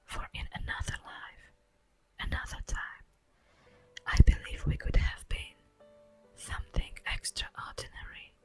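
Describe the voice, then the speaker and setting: Slow whispering elf woman, acted by a woman. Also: lightly acted, rough recording. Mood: sorrowful, tender. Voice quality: breathy unvoiced whisper. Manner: slow, formal, poetic, drawn-out delivery. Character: solemn, tender female elf guardian of the forest. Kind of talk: whispered ASMR roleplay monologue.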